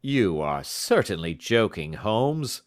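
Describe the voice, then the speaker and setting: A man voicing a modest, puzzled gentleman in an audiobook, politely questioning his clever friend. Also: on the low side, sing-song, takes it slowly, clean tone. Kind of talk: audiobook character dialogue.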